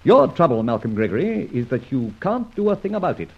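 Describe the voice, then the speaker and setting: An actor playing a suave, self-assured gentleman adventurer with an arch, taunting manner, in the style of a vintage radio serial. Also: fairly high pitch, big pitch swings, a little fast, gravelly, breathy edge, exaggerated voice. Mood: mocking, confident. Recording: muffled old radio recording.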